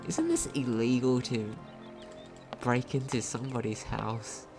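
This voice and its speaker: Slow, creaky man playing a video game. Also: mid-range voice, steady tone. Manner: thinks aloud, repeats phrases, asks himself questions. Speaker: gaming commentator. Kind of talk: casual let's play commentary. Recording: game music behind the voice. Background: video game music.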